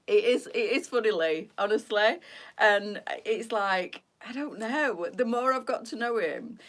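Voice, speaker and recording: A woman's voice: medium pitch, lively intonation, a little slow. A woman with a Yorkshire accent hosting a boutique live stream, chatting casually and rambling with frequent laughter. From Yorkshire, England.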